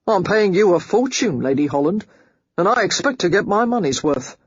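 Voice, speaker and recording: A woman reading the part of a brash, self-made rich man who speaks bluntly and forcefully in short, clipped lines. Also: lowish voice, animated, moderate pace, slightly rough, dry recording, lo-fi sound.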